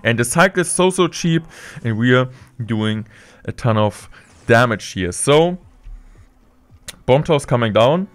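A young man streaming gameplay from a room indoors, talking through each move in steady play-by-play with excited bursts at big plays, a little sick. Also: medium pitch, measured pace, long pauses. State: slightly sick. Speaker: game streamer. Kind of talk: live gameplay commentary.

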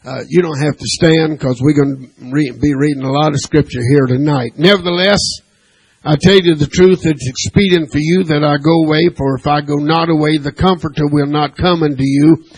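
A man's voice: fairly high pitch, steady tone, moderate pace, breathy edge, poor audio. A man preaching a sermon to his church, dotting his points with "amen" and asking the congregation questions, and reading scripture aloud. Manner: preaching with frequent "amen" and call-and-response questions; reads scripture aloud in King James wording. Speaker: pastor. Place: church sanctuary.

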